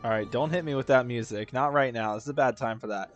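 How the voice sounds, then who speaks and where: A man's voice: medium pitch, fast, dull audio, faint music behind. A man giving casual live gameplay commentary as a streamer, informal and rambling, often correcting himself mid-thought. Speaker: game streamer.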